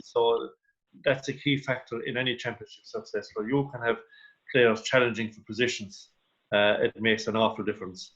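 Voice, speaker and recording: A man with an Irish accent speaking in halting, deliberate phrases as a guest pundit in a radio sports discussion. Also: mid-range voice, steady tone, a bit bright. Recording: dull, band-limited radio call.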